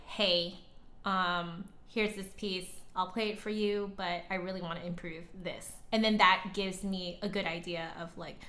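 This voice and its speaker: A woman chatting casually as a livestream host and flute teacher, conversational, with hesitant pauses and emphatic repeats. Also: fairly low pitch, a bit bright. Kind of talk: casual livestream chat, answering viewer comments.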